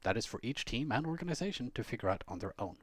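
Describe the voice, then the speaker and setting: A man with a husky voice reads a scripted instructional piece in a measured, explanatory way, with conversational asides. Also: medium pitch, sing-song, no room sound, clean studio sound. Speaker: blogger and Scrum trainer reading own blog post.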